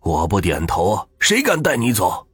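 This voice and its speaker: A man voicing a fierce old husband who shouts and curses to protect his dying wife in a spoken ghost story. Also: lowish voice, huge pitch swings, unhurried, husky, dry recording, soft background music, heavily acted. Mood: furious. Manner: shouting, cursing.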